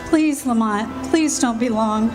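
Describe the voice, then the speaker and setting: A woman's voice: a little high, unhurried, over music, close to an everyday voice. A woman playing an anxious, curious lady companion, fretful and dramatic, in an old-time radio mystery. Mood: fearful. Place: live stage performance in a cafe.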